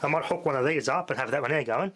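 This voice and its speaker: A man's voice: fairly high pitch, quick pace. A man casually talking through a hands-on demonstration, rambling and muttering to himself as he works. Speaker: hobbyist vlogger testing radios. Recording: loud noise with handling clicks.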